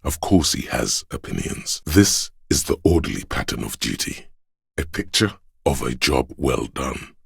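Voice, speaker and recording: A man playing a grave, all-knowing inner voice of police camaraderie in a video game, narrating in a solemn, measured, literary way with a gravelly, husky tone. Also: a bass voice, very animated, a bit bright, no echo, studio quality, full character voice. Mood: brooding, measured.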